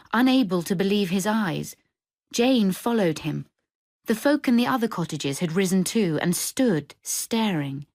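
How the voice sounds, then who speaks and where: A woman reading literary fiction for radio in a measured, descriptive storytelling manner. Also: lowish voice, a little mellow, no echo, clean studio sound. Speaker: short story reader. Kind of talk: scripted literary narration for radio drama.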